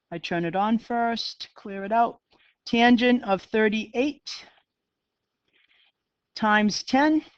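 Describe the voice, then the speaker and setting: A woman's voice: mid-range voice, clean tone, dry recording, dull audio. Boston-accented woman teaching math. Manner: chatty, self-deprecating, talks to students. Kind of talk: classroom lecture.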